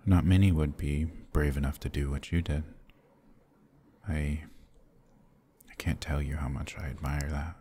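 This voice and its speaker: A man playing a courteous, protective, brooding vampire lord in an intimate ASMR roleplay, speaking gently and formally with a husky, halting delivery. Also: very deep voice, fairly even intonation, a little hiss or hum. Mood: admiring, warm.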